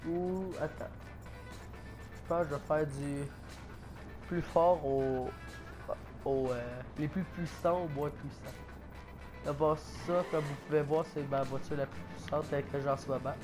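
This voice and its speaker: A man's voice: fairly high pitch, fairly even intonation, measured pace, long pauses, music in the background, rough recording. A man with a Québécois accent, sick with a cold and coughing, giving hesitant, casual commentary over a video game. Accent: Québécois French. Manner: hesitant, many fillers and restarts. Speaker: gaming YouTuber.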